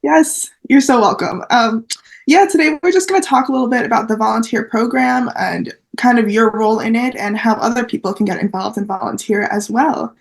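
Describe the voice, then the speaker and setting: A woman's voice: on the high side, brisk, creaky. A young woman interviewing a guest in a chatty, upbeat way, with plenty of fillers. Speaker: interviewer, volunteer.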